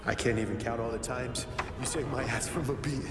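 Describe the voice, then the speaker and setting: A man's voice: mid-range voice, fairly even intonation, brisk, natural voice. Man playing grieving gangster in an echoing church. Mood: grieving, halting. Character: grieving young male gangster, loyal, hot-tempered, vengeful. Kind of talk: dramatic video game acting. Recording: echoing church, music behind.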